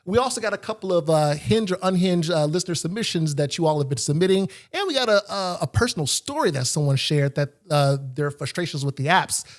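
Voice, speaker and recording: A male podcast host with a high voice chats casually with his guest. He sounds upbeat, laughs often and swears freely. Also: expressive, fairly quick, hardly any pauses. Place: Los Angeles.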